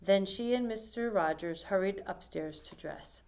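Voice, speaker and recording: A woman reading a picture book aloud to children, pausing to explain things in simple asides. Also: medium pitch, darkish tone.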